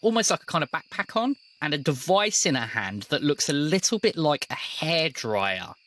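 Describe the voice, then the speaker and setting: A man acting as game master, narrating a tabletop roleplaying story in a measured, descriptive way. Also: a little high, very animated, a bit bright.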